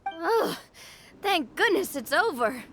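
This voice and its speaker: A woman playing a spirited, confident young woman adventurer in a video game, bubbly and expressive. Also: very high voice, takes it slowly, a little hiss or hum, full character voice. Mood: cheerful.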